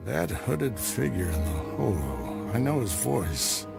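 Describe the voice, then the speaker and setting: A wise Jedi master, low-voiced and measured. He gives orders and lessons with grave calm. Game score plays clearly behind. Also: over loud music, full character voice. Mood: commanding.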